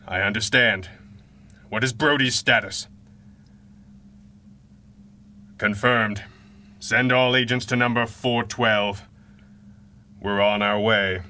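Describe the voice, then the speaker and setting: A man's voice: on the low side, pushed and bright. A man playing a guilt-ridden masked crime fighter in a 1930s-style radio adventure, speaking with formal, earnest, dramatic diction. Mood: clipped, commanding.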